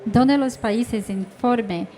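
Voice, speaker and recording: A woman with a Brazilian Portuguese accent, a native Portuguese speaker, carefully and haltingly reading prepared panel remarks in a second language. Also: fairly high pitch, dark tone.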